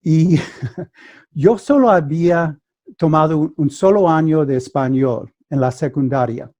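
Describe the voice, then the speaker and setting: A man whose first language is English, speaking Spanish with an American accent as a guest mediator, telling a story carefully with halting restarts over a video call. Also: fairly high pitch, dark tone, muffled recording. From United States. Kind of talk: conversational storytelling.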